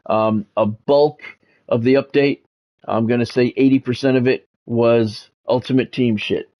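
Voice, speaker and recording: A man's voice: medium pitch, steady tone, a little slow, dull audio. A middle-aged man streaming a sports video game, talking casually and commentating in a blunt, sardonic way. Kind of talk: casual livestream chat with sports play-by-play commentary.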